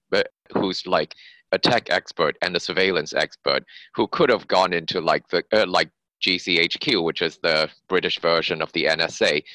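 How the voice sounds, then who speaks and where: A man's voice: deep voice, sharp tone, no echo, poor audio. A man being interviewed as an author, explaining his fiction conversationally in long, run-on sentences.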